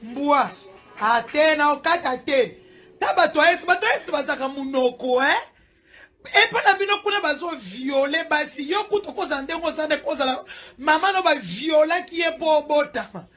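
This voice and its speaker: A woman with a high voice scolds her listeners angrily. Her delivery is forceful and breaks into shouting. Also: animated, normal speed. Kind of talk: angry online rant.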